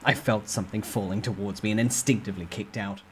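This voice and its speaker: A man's voice: on the low side, steady tone, quick pace, slight background noise. A man reading a fantasy novel aloud as a dramatic, suspenseful first-person narrator. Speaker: amateur story narrator.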